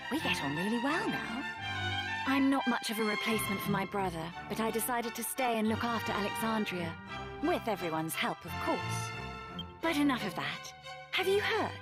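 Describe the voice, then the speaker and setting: A high-pitched young noble lady in a video game, chatty, self-assured, teasing, loud music underneath. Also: normal speed. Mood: gossipy, amused. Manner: chatty, familiar, expressive. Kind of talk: scripted video game voice acting.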